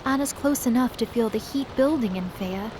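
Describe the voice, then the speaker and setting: A woman giving expressive, scripted dramatic narration as the storyteller of a fantasy audio drama. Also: on the high side, normal speed, noisy background.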